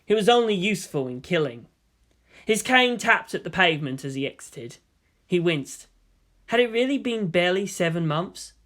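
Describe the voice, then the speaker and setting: High-pitched male story narrator. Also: big pitch swings, normal speed, fairly bright tone. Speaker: audiobook narrator of fan fiction.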